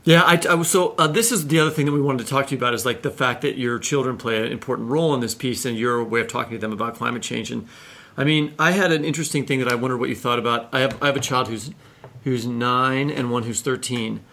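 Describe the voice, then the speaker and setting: A man's voice: mid-range voice, quick pace, fairly bright tone. A middle-aged man co-hosting a podcast in a casual, informal way, telling anecdotes and acting out bits of dialogue. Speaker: podcast co-host, writer. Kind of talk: casual, conversational interviewing.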